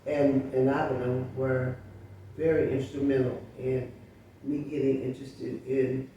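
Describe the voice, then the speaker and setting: An older woman from Chicago, a guest author, telling stories from her past in a lively interview. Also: rumbling low, unhurried, a little hiss or hum. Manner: storytelling, lively recollection. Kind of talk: reminiscing interview talk. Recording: echoey room, distant mic.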